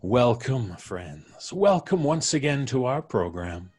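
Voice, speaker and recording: A man playing an ominous horror show narrator, speaking in a dramatic, measured and grandiose way over a scripted introduction. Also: mid-range voice, big pitch swings, darkish tone, a little hiss or hum.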